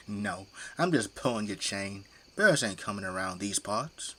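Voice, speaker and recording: A man's voice: on the low side, expressive, measured pace, fairly bright tone. Folksy, jovial Midwestern family man. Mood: jovial, frank. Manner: folksy, teasing, joking. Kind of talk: audio drama acting.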